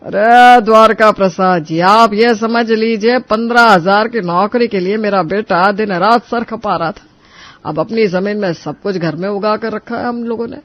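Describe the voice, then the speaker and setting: A man's voice: sits high, normal speed, a bit bright, dry recording. A man playing a boastful, stubborn but gullible elderly father-in-law in a folksy cartoon moral story.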